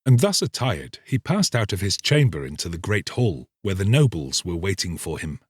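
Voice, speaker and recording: A man narrating a literary tale from a script, expressive and deliberate. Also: deep voice, husky, no echo, clean studio sound. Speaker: audiobook narrator.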